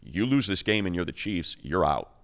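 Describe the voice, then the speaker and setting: A man's voice: deep voice, big pitch swings, normal speed. A man giving casual, conversational football analysis on a sports talk show, explaining things in slangy language.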